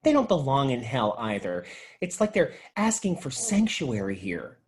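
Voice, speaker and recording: A man playing an excitable, idealistic and naive young video store clerk, earnest and dramatic, in a comedy table read. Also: medium pitch, normal speed. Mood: earnest pleading.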